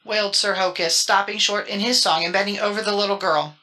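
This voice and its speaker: A woman's voice: mid-range voice, steady tone, a little fast, pushed and bright. A woman reading a children's storybook as a dramatic audiobook narrator.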